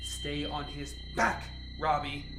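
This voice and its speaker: Radio drama lead: woman as bossy, exasperated female chief scientist, deliberate delivery, slightly low register, echoey room. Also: steady tone, creaky, a bit bright, full character voice. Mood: angry, exasperated. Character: commanding, exasperated woman leading a scientific team. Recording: roomy echo, faint music bed.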